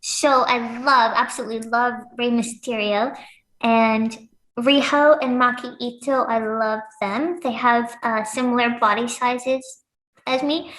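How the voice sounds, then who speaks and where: A woman's voice: on the high side, a little slow, fairly bright tone, echoey room, low-quality recording. A young woman from Lebanon, a pro wrestler, chatting casually as an interview guest and laughing often. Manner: giggly, upbeat, laughs often.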